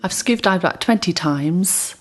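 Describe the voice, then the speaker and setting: A woman's voice: on the low side, lively intonation, moderate pace. A woman recounting personal experiences in short, simple sentences as an interviewee.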